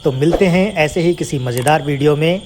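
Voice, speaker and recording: A man's voice: on the high side, fairly quick, very noisy. A man narrating a scripted children's moral story as a storyteller.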